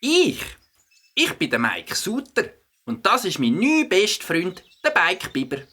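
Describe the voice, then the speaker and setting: A woman playing an eager, helpful young boy with a slightly raspy voice and a Swiss German accent in a children's audio play. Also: on the low side, huge pitch swings, slow, exaggerated voice. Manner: bright, eager.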